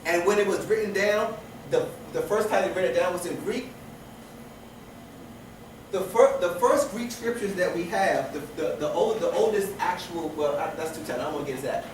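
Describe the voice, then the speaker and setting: A man's voice: high-pitched, moderate pace. A man teaching a class, lecturing with many restarts and rhetorical questions. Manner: repeats and restarts phrases, asks rhetorical questions. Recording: echoey room with noise from far off.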